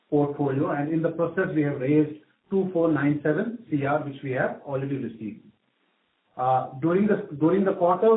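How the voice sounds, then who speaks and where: A man gives a semi-scripted financial briefing, pausing on fillers. His fairly high voice sounds roomy and echoey through a narrow phone connection. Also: a little hiss or hum. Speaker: finance executive briefing investors. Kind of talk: semi-scripted earnings call presentation. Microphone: conference call phone line. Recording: echoey, tinny phone line.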